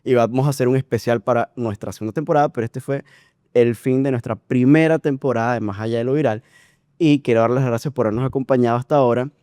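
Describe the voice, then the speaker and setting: A man's voice: mid-range voice, fast, mellow, dry recording. Podcast host man, dry close sound. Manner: rambling questions, friendly. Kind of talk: casual interview.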